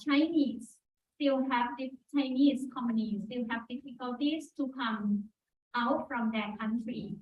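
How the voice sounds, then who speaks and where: A woman from Thailand speaks at a press conference, pausing often with fillers as she gathers her words. Her voice sits a little high, and it comes from some way off the mic. The echoey room and dull sound make it feel captured from across a hall. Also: far from the mic. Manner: halting, searching for words, many fillers. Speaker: exhibition organizer spokesperson.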